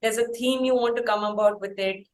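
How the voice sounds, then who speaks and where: A woman's voice: mid-range voice, steady tone, fairly quick, roomy sound. A woman with an Indian English accent hosting a conversational interview, talking in long, wordy, circling questions. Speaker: interviewer, podcast host.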